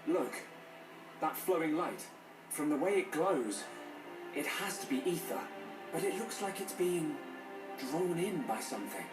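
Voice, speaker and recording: A man's voice: a little high, expressive, measured pace, long pauses. A man playing an earnest, thoughtful young hero in a fantasy video game. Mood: earnest, pensive. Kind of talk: video game cutscene acting. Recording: echoey, distant game audio with music.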